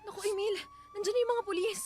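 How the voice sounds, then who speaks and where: An actress playing a remorseful, anxious wife in a Filipino radio drama, emotional and hesitant. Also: female voice, very high-pitched, steady tone, fast talker, darkish tone, faint music behind. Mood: alarmed. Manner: tearful, hesitant, repeats words. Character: remorseful, anxious young wife pleading for forgiveness.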